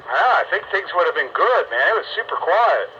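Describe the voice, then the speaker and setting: Bright, forceful older man on radio. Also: a little high, very animated, fairly quick. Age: 60s. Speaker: amateur radio operator. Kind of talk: casual on-air radio chat. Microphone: two-way radio. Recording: dull lo-fi radio link, faint static.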